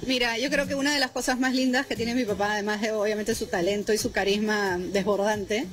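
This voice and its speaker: A Peruvian woman in her thirties speaks in a heartfelt tribute interview outdoors. Her voice is fairly high and picked up on a reporter's handheld microphone. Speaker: interviewee, daughter of honored actor. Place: outdoors, outside TV studio building.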